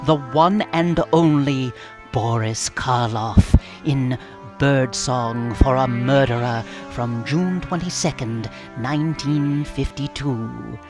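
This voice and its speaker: A woman's voice: a bass voice, takes it slowly, full character voice. A woman playing a playful, campy horror hostess, theatrical and teasing in a scripted radio wraparound. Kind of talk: scripted comic wraparound hosting. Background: music.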